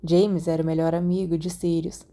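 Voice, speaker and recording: A woman's voice: fairly low pitch, flat delivery, moderate pace, warm tone. A woman with a Brazilian accent reading a story aloud as a scripted audiobook narration. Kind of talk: scripted fan fiction narration with acted dialogue.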